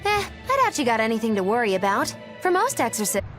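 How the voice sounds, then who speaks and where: Theatrical game sage, highish female voice, animated lilt, breezy, knowing. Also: fast talker, soft background music.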